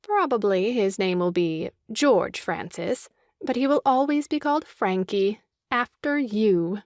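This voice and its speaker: A woman's voice: medium pitch, lively intonation, measured pace, no room sound, clean studio sound. A woman playing a sweet-talking, conspiring young lady in an audio farce, arch and coaxing in manner. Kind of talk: stage farce audio drama acting.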